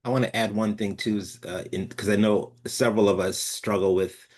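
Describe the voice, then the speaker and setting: A man's voice: lowish voice, steady tone, fairly quick, darkish tone. A man on a video call adding a reflective point, with frequent fillers. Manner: reflective, many "uh" and "just". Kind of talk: casual group video call.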